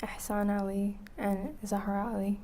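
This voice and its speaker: An eighteen-year-old woman from Iraq answers from a courtroom witness stand. She is soft-spoken, her replies brief and quiet, in a flat, mellow voice with a faint room hum behind. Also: mid-range voice, unhurried. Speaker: trial witness.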